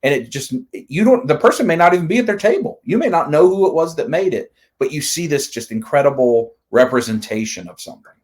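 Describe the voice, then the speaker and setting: A man's voice: fairly high pitch, expressive, a little fast, few pauses, darkish tone. A man in his forties hosting a live stream, asking rambling, enthusiastic questions in casual chat. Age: 40s.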